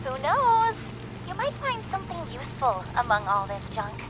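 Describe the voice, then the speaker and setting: Game rebel boss: very high, lively swings, sarcastic, teasing, playful. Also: female voice, moderate pace, background noise. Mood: breezy, playful. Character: sardonic, playful woman leader of secret rebel wardens. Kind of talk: theatrical video game acting.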